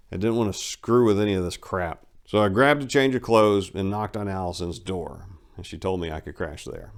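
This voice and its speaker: Low-voiced man narrating a brash memoir. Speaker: book narrator. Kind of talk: dramatic first-person memoir reading.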